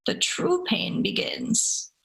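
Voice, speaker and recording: A woman voicing a calm, merciless demon in a story, speaking tersely and flatly, without hurry. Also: slow, low-quality recording. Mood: cold, ominous. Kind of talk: literary story reading.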